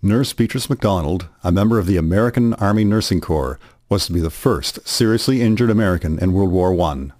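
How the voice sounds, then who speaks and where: A man reading scripted narration for a historical radio drama in a formal, measured manner. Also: deep voice, expressive, darkish tone. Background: guitar music.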